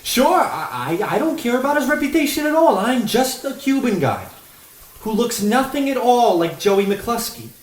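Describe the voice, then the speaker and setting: High-voiced hapless ballplayer, radio comedy. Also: male voice, big pitch swings, husky, full character voice. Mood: nervous bluffing. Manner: earnest folksy storytelling, halting when bluffing. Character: hapless, boastful dim ballplayer posing as a Cuban. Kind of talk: old-radio comedy acting.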